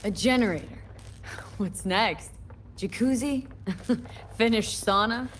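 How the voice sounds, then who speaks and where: A woman's voice: on the high side, takes it slowly, slight background noise. A woman playing a playful, direct young mercenary and lover in a video game, with clipped, teasing phrasing. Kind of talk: naturalistic video game acting.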